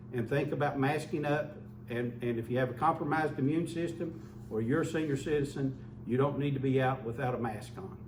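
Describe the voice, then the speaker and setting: A man giving an earnest public health update as a local official, often repeating words as he restarts. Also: mid-range voice. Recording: echoey room, mic far off, faint hum.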